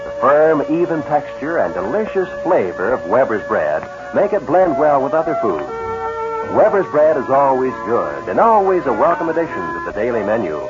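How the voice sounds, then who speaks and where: Animated male 1940s radio pitchman. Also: moderate pace, music in the background. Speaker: commercial announcer. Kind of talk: 1940s radio advertising read. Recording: dull 1940s radio recording.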